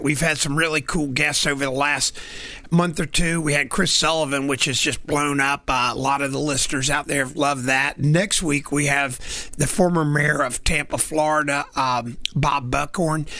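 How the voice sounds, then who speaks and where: A man's voice: on the high side, vocal fry, pushed and bright, no room sound. A man hosting a podcast, chatting in an upbeat, enthusiastic way with his guest. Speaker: host. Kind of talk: casual podcast interview.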